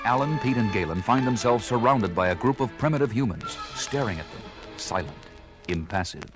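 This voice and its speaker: Man as grave storyteller, deepish voice, dramatic scripted narration, 1970s adventure record, clear music behind. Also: animated, moderate pace, lightly acted. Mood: ominous.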